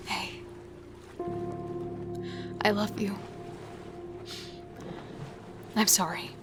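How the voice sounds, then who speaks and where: A woman playing a remorseful, tender serial killer, narrating a horror audio drama in a soft, intimate, partly whispered delivery. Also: medium pitch, lightly acted. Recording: loud music bed.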